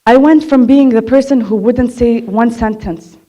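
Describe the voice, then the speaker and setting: A woman's voice: on the high side, normal speed, warm tone. A young woman who stutters, telling her own story candidly from a stage. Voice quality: stutters, repeats sounds and words. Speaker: speaker sharing her story. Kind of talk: personal talk on stage. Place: on a stage before an audience.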